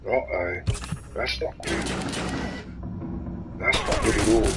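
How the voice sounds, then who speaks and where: A man's voice: fairly low pitch, takes it slowly, over loud music. A man, originally from Italy, chatting to viewers while reacting aloud to his game. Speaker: gaming streamer. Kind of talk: casual livestream gameplay commentary.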